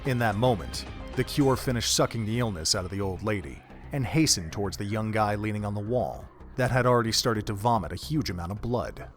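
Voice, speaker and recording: A man narrating a fan audio drama in a steady, measured storytelling manner. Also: fairly low pitch, faint music behind.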